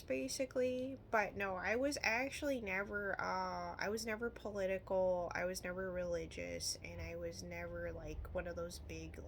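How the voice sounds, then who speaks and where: Woman, Midwestern American accent, creaky fry, casual rambling vlog talk, trailing asides, noisy recording. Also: medium pitch, a bit bright. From Illinois, United States.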